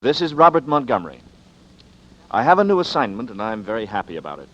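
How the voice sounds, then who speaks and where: A man hosting a radio drama, giving a measured, conversational scripted introduction. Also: medium pitch, very animated, fairly bright tone, dry recording. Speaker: host and star. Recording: dull vintage radio recording.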